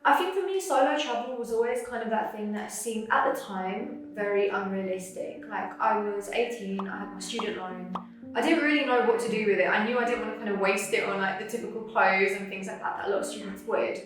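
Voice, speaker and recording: A woman's voice: medium pitch, fairly quick, faint music behind. A woman in her late twenties from England with an English accent, chatting casually in an interview. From Cambridge, England. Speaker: interviewee, travel content creator. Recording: very echoey room, distant microphone.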